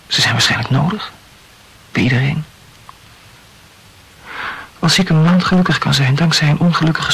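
An actor playing an evasive, self-absorbed lover in an intimate radio play, reasoning wordily in a breathy voice. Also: male voice, fairly high pitch, a bit bright, heavily acted, low-quality recording. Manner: wordy, rationalizing.

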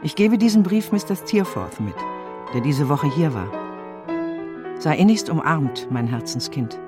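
An actress playing a loving, plain-hearted elderly nursemaid, speaking in a tender, homely way in a radio play. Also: female voice, low voice, lively intonation, unhurried, loud music behind the voice. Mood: tender, uncomplaining.

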